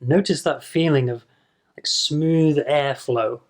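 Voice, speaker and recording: A man's voice: fairly high pitch, slow pace. A man teaching English pronunciation to camera in a patient video lesson, demonstrating sounds and repeating them.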